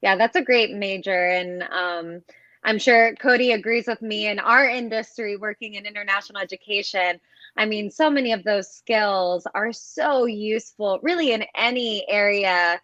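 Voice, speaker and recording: A woman's voice: medium pitch, moderate pace, fairly bright tone, dry recording. A young woman from Ohio hosting a live interview, upbeat and often saying "awesome". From Ohio, USA. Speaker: host. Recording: dull lo-fi sound.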